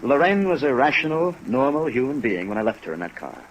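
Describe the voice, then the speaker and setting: A man's voice: medium pitch, brisk, muffled recording, natural voice. An actor playing an earnest, dignified older American man jilted by his fiancée, speaking formally in 1950s radio drama style. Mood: insistent. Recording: 1950s radio recording with rain noise. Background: steady rain sounds.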